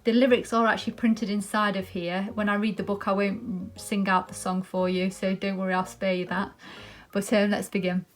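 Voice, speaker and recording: A woman's voice: medium pitch, little pitch movement, normal speed, soft background music. A British woman presenting and reading a children's story aloud.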